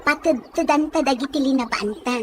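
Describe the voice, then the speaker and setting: A woman's voice: high voice, fairly quick, slight background noise, natural voice. A woman with a Filipino Ilocano accent playing a frank, nosy young village friend in an audio drama. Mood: practical.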